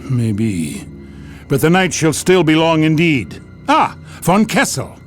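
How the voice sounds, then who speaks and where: A weary, commanding imperial marshal in a fantasy video game. His tone is grim and stern, yet his pitch moves expressively over faint music. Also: sing-song, takes it slowly. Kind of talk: fantasy game cutscene acting.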